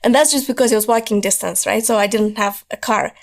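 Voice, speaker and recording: A woman, telling her life story as a podcast guest, hoarse from losing her voice and talking in an earnest, driven way. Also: on the high side, fast talker. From Moldova. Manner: earnest, driven storytelling, repeats words for emphasis.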